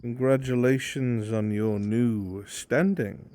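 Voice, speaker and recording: A man's voice: mid-range voice, steady tone, takes it slowly, mellow, close to an everyday voice. A man playing a terse, authoritative monster-hunting inquisitor in an improvised fantasy roleplay. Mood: grave, measured. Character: commanding, grave monster-hunting inquisitor, military superior.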